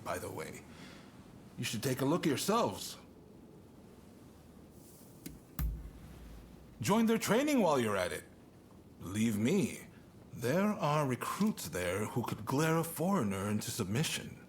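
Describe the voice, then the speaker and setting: A man's voice: medium pitch, big pitch swings, normal speed, a little hiss or hum, lightly acted. A man playing an affable, commanding shogunate military officer in a video game cutscene, hearty and persuasive. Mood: pleased.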